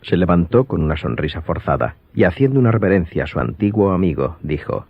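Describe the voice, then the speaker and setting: A man's voice: low voice, sing-song, brisk, creaky, husky, no echo. A man narrating a scripted radio drama as the storyteller. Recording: slightly dull audio, music behind.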